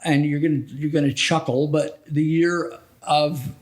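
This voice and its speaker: A middle-aged American man reminiscing casually as a podcast guest, telling his stories in a halting, conversational way. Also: on the high side, steady tone. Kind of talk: casual reminiscing interview talk.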